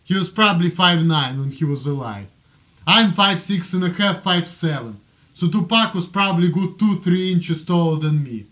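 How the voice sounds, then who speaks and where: A man's voice: sits high, lively intonation, normal speed, pushed and bright, lo-fi sound. A man from Russia with a strong Russian accent, rambling casually and repeating himself as he justifies himself in a homemade video blog. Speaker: amateur video blogger. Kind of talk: casual rambling talk to camera.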